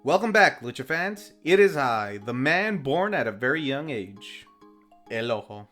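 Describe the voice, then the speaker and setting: Male review host, tongue-in-cheek and theatrical, animated mid-pitched delivery over faint music. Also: measured pace. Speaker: film review show host. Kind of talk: scripted film recap and review narration. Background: faint background music.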